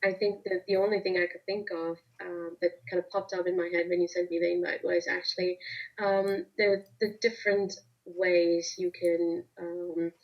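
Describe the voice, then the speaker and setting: A woman's voice: fairly low pitch, little pitch movement, normal speed. A woman from Pakistan whose first language is Urdu, chatting on a video call with many fillers and restarts. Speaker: guest. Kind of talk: casual video call chat. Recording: muffled lo-fi video call audio.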